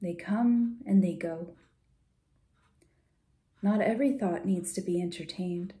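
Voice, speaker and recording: A woman leading a guided visualization in a soft, gentle, soothing way, unhurried with pauses and at times breathy. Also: on the low side. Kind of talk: guided meditation, soft slow instruction.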